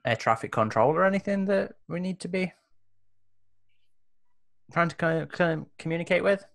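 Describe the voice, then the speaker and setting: A man's voice: on the high side, lively intonation, normal speed, vocal fry, a bit bright. A man chatting and bantering casually as a player in a tabletop roleplaying game. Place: indoors, on a group video call.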